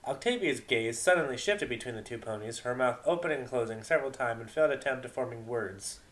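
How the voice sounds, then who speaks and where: A man with a medium-pitched voice reads as the narrator. It is an amateur dramatic reading of a story. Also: animated, fast talker, fairly bright tone.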